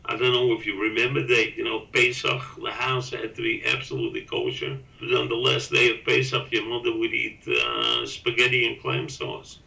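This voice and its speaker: Older guy w a gravelly bright edgy voice, hesitant, sounds off-mic in an echoey room. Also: medium pitch, very animated, distant mic. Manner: halting, with fillers and repeats. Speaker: father interviewed by his son. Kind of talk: candid documentary interview.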